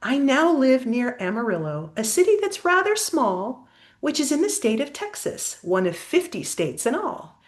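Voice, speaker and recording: A woman's voice: sits high, very animated, normal speed, voice pushed up. A woman voicing a curious, homesick male armadillo in a storybook, earnest and full of wonder. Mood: proud, earnest.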